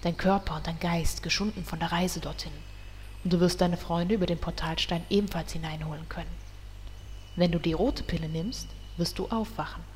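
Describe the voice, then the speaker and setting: A woman's voice: fairly low pitch, fairly even intonation, normal speed, big-room sound, a little hiss or hum, natural voice. An actress playing a solemn female elf priestess with a grand, mock-solemn prophetic delivery.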